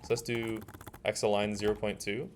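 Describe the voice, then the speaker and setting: A man leading an informal hands-on tutorial, explaining steps in a casual, halting way as he thinks aloud. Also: fairly low pitch, level tone. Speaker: workshop instructor. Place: indoor workshop room. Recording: noisy room with keyboard typing. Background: keyboard typing, room noise.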